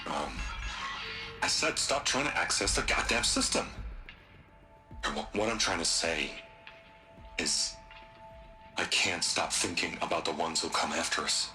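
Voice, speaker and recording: An actor playing a remorseful, fanatical tech tycoon in a video game, stammering and halting before turning resolute. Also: male voice, low-pitched, full character voice. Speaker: recorded message from the past.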